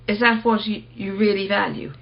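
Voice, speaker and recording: An actress playing an earnest, quietly challenging woman in a radio play, speaking in a measured, persistent, questioning manner. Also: medium pitch, level tone, creaky, highs cut off. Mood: earnest, probing.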